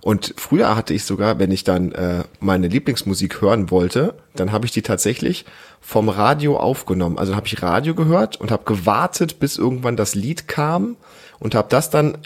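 Male podcast host, 30s-40s, slightly low voice, casual and encouraging, explains simply as if to a child. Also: expressive, normal speed. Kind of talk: casual family chat with a child.

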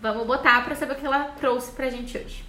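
A woman's voice: high voice, brisk, a little hiss or hum. A woman, hosting a casual podcast chat with friends and reading out listener questions. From Florianópolis, Brazil. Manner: lively, reads listener questions. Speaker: podcast host. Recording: echoey room.

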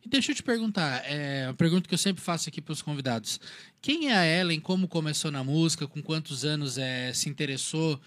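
A man's voice: fairly high pitch, animated, a little fast, fairly bright tone. A man hosting an interview show, asking friendly questions and sometimes restarting his sentences. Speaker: interview host. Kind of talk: casual interview.

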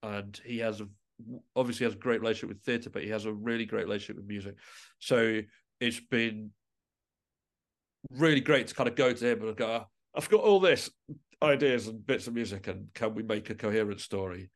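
A man's voice: on the low side, a little fast. A man being interviewed on a podcast, talking thoughtfully and feeling his way through sentences with repeated restarts. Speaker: interview guest, musician and composer.

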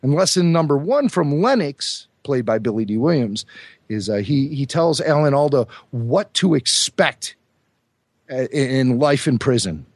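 Hoarse male podcast co-host, chatty and trivia-loving; lively pitch, dry close mic. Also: unhurried, no room sound. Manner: chatty, enthusiastic, fond of trivia. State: hoarse from a cold. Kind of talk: casual chat.

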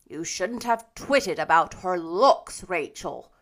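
A woman's voice: on the low side, animated, takes it slowly. A woman voicing a firm, dry, middle-aged country woman in a storybook, resolute in manner. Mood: reproving.

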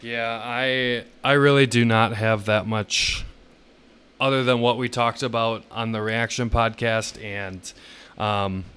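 A man co-hosting a sports podcast, giving measured, opinionated analysis in a casual, explanatory way. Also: medium pitch, fairly even intonation, fairly bright tone, smooth.

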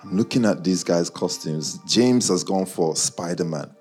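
Male, London accent, raspy and slightly deep. Slangy, teasing live-audience chat with faint music underneath. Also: a little slow, darkish tone. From Nigerian, childhood years in Guyana, schooled in the UK. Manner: bantering, teasing listeners by name, slangy. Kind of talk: casual chat with a live audience and online viewers.

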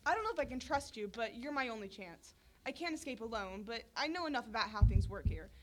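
A woman's voice: on the high side, expressive, fast, natural voice. A young woman playing a guarded, scheming girl plotting an escape in an amateur radio drama. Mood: guarded, determined. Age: teenager. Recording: noisy amateur recording with clicks.

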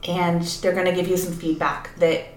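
A woman's voice: fairly low pitch, little pitch movement, moderate pace. A woman co-hosting a casual podcast as an editor, explaining things in a thoughtful way. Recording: echoey room with background noise.

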